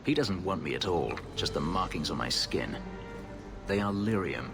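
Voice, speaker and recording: An actor playing a brooding, bitter and proud escaped elf slave in a video game, speaking gravely and formally. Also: male voice, deep voice, animated, moderate pace. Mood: grim. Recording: music behind the voice.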